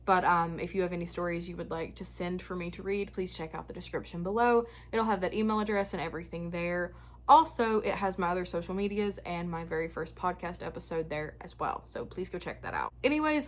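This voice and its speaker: A young woman who hosts a spooky story channel, chatting frankly and then reading a listener's tale aloud, her voice starting to go hoarse. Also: on the low side. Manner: chatty, frank and a bit frazzled when talking, steady when reading. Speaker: horror story channel host. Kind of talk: casual chat and reading listener stories aloud.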